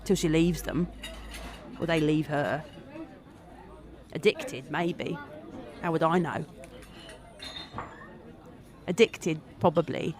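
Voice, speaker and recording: A woman's voice: low-pitched, slow, long pauses, darkish tone, some chatter behind. A woman acting a wry, earthy confidante who gossips in a sardonic monologue for an audio drama. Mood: bitter, wry.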